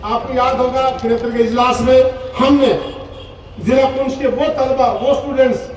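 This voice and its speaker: High-pitched male cleric orating, heavy echo. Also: little pitch movement, normal speed. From Poonch, Jammu and Kashmir, India. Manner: exhortatory, emphatic, with rhetorical repetition. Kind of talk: impassioned Urdu public oration at a religious gathering. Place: large gathering, speaking from a stage. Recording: echoey public address, distant, faint noise.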